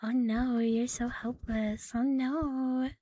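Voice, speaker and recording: A woman streaming ASMR in a soft, breathy, often whispered voice, playful and intimate, close to the microphone. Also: on the high side, fairly even intonation, takes it slowly, no echo. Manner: playful, giggly, intimate, lingering on repeated words. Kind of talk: ASMR roleplay with casual rambling to stream viewers.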